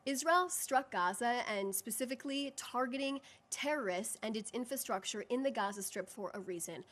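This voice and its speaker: Higher-pitched woman, firm government spokesperson. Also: animated, a bit bright. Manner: firm, emphatic, deliberate phrasing. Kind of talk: formal press briefing, prepared statement and answers to questions.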